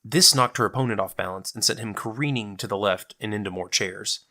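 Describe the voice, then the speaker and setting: Dramatic audiobook style narrator, bright punchy voice, medium-low register, scripted action story. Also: fairly quick.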